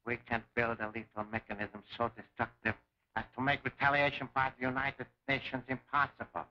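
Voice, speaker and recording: A man's voice: medium pitch, completely flat delivery, fairly quick, sharp tone, slight background noise. A man playing a cold, commanding enemy scientist in a 1940s film serial, speaking formally and precisely. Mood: sinister, assured. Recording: dull lo-fi 1940s serial soundtrack.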